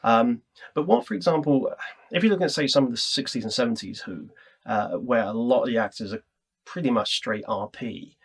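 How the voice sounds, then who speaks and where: Gravelly, husky British male interviewer. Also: medium pitch, a little fast. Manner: chatty, enthusiastic, wordy questions. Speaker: interviewer, podcast presenter. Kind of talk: conversational interview. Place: audio studio interview.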